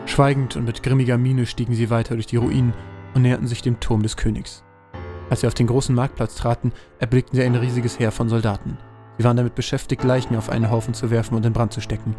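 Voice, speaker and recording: A man's voice: mid-range voice, little pitch movement, fast, music in the background. A man narrating a scripted fantasy audio drama as a storyteller.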